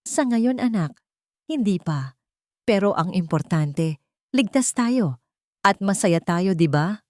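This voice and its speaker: A woman voicing a gentle, resolute mother who speaks earnestly and politely. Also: medium pitch, expressive, takes it slowly, no room sound, studio quality. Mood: reassuring.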